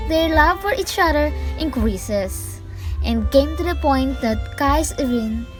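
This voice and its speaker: Female storyteller for a radio play, fairly high voice, unhurried, over music. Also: poor audio. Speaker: narrator. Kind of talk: scripted storybook narration in amateur radio drama.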